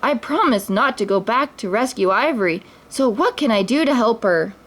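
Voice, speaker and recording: A woman's voice: on the high side, lively intonation, moderate pace. A kind young male hero in a children's storybook, as read by a woman. He speaks with earnest eagerness and often exclaims. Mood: eager.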